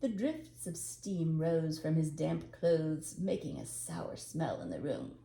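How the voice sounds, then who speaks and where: A woman's voice: low voice, big pitch swings, slow pace, creaky, dark tone, reverberant, a little hiss or hum. An older woman narrating a storybook tale expressively as a storyteller.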